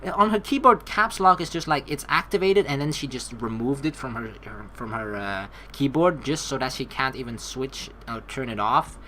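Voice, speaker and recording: A woman's voice: a bass voice, lively intonation, normal speed, hardly any pauses, fairly bright tone, a little hiss or hum. A young woman reading a game aloud as a streamer, adding chatty, casual asides as she goes. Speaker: let's play streamer. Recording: faint game music behind.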